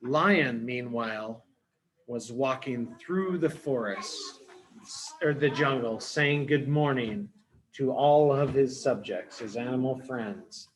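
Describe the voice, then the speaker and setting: Man, early-years storyteller, unhurried, mid-pitched, simple explanatory delivery, dramatic pauses. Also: low-quality recording. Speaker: storyteller, early education teacher. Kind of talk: children's story retelling with word explanations.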